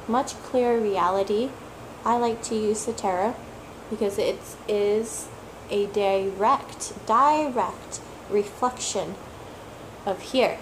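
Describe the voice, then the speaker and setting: A woman for whom English is a third language, talking slowly and reflectively as a tarot reader, repeating words for emphasis and sometimes searching for them. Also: mid-range voice, noisy background. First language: not English; English is her third language. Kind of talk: slow reflective monologue.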